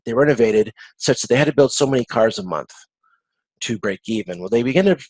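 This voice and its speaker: A man giving an informal slide lecture to a class on a video conference, explaining haltingly and often repeating words. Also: mid-range voice, lively intonation, darkish tone, dry recording, low-quality recording. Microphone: video conference call.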